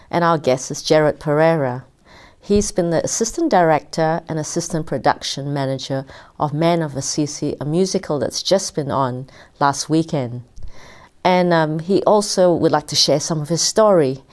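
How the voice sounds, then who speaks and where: A woman's voice: low-pitched, normal speed, darkish tone. A woman hosting a television interview show, encouraging her guest with frequent mm-hmms and some hesitant ums. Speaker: TV talk show host.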